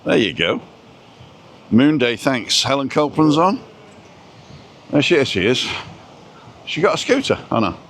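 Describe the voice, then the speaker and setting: A man's voice: medium pitch, big pitch swings, unhurried, pauses often, fairly bright tone, a little hiss or hum. A man from Wales chatting casually on a walk and reading viewer comments aloud, recorded outdoors on a phone. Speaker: live-stream host. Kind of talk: casual chat while walking.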